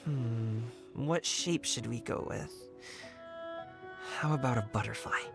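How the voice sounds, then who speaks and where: An actor playing an easygoing, kind young man in a game cutscene, casual, gentle and a little hesitant. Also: medium pitch, takes it slowly, vocal fry. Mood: reluctant, hesitant. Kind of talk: video game cutscene acting. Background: music.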